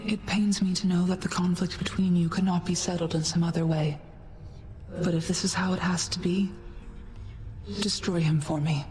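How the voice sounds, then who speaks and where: Lady doing regal old goddess for a game, lowish voice, solemn n formal, soft music behind. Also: steady tone, natural voice. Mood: grave, motherly. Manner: formal, measured, stately. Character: solemn, regal ancient goddess, motherly and grave. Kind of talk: video game character dialogue.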